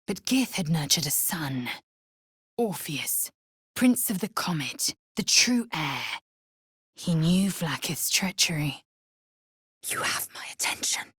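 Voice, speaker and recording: A woman voicing a fierce, proud alien warrior in a video game, speaking with a husky rasp in a haughty, clipped, commanding and formal manner. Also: lowish voice, no echo, studio quality, heavily acted. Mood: intense, grave. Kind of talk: video game character voice acting.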